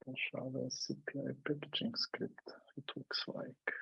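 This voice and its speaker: A man's voice: medium pitch, flat delivery, a little slow, vocal fry, sharp tone, breathy edge, dry recording. A man giving brief, tentative replies in a casual technical discussion on an online call. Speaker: software engineer in a working group call. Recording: dull, lo-fi call audio.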